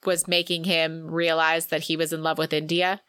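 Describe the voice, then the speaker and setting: A woman's voice: low voice, little pitch movement, normal speed, pushed and bright, smooth, no room sound, clean studio sound. A woman co-hosting a book podcast, chatting casually and with effusive enthusiasm. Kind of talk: casual book discussion chat.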